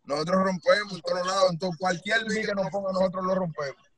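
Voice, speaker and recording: A man's voice: high-pitched, steady tone, fast, crowd noise. A young man from Puerto Rico, an urban music artist, talking loosely on a phone video call from a car, full of slang tags. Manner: loose slangy talk, repeats tú me entiendes. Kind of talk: casual interview answers.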